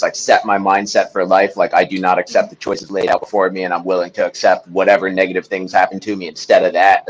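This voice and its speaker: A man's voice: fairly low pitch, rough recording. A man, a podcast guest, talking in a casual interview chat, intense and emphatic as he tells his story.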